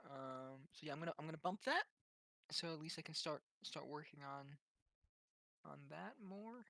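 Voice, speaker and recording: A man's voice: a little high, moderate pace, creaky, sharp tone, no room sound. A man chatting casually as a player in a tabletop game.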